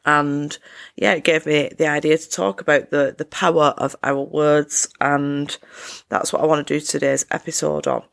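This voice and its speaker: A woman hosting her own podcast, chatting in a casual, upbeat self-help way with a croaky voice. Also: low voice, flat delivery, moderate pace. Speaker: podcast host. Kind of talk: casual solo podcast chat.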